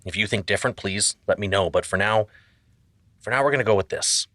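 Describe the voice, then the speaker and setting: A man playing an anxious, overwhelmed narrator of a podcast mystery, hesitant and confiding, with a husky voice. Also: lowish voice, a little fast, fairly bright tone, no echo, close to an everyday voice. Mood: puzzled, earnest.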